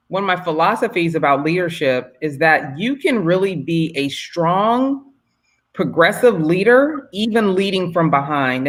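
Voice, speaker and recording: A woman's voice: deep voice, a bit bright. Encouraging female interview host. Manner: encouraging, affirming questions. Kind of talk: conversational interview.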